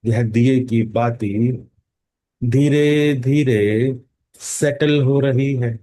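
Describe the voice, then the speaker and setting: Very slow, mellow male meditation guide. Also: mid-range voice. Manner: calm, unhurried, instructing with long pauses and drawn-out words. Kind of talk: guided meditation in Hindi mixed with English phrases.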